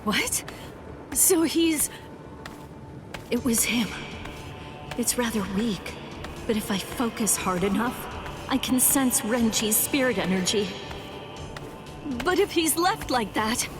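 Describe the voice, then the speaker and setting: Earnest upset anime game girl warrior, halting, expressive, echoey w music. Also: a little high. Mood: stunned, uneasy. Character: earnest, distraught young female captive warrior. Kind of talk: anime game dub acting. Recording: echoey hall reverb, music behind.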